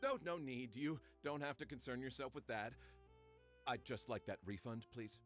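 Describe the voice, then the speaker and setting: A man's voice: mid-range voice, moderate pace, soft background music, natural voice. A man voicing a hesitant, evasive customer in a video game, stumbling with ums.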